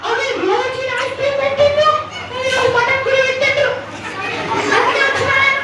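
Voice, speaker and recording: Tiny squeaky girl puppet, cheeky n chatty, far from mic, loud crowd noise. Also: little pitch movement, moderate pace. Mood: cheerful, chatty. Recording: echoey hall, distant mic, loud noisy crowd.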